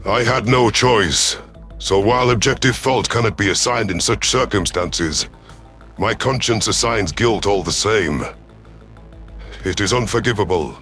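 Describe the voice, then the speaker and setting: A man voicing a solemn, remorseful reptilian alien predator with measured, formal speech in an audio sci-fi story. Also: lowish voice, fairly bright tone, soft background music, pitched below the performer's own voice.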